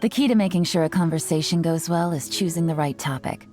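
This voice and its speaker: An actress playing a sly, self-assured young woman intelligence agent in a video game, speaking in a coy, teasing, unhurried way. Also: on the low side, close to an everyday voice. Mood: playful teasing. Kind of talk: scripted video game character voice lines. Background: soft music.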